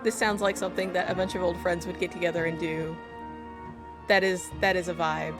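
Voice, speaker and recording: A woman's voice: fairly low pitch, little pitch movement, fairly quick. A woman giving casual, playful, reactive commentary as she streams a game. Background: soft game music.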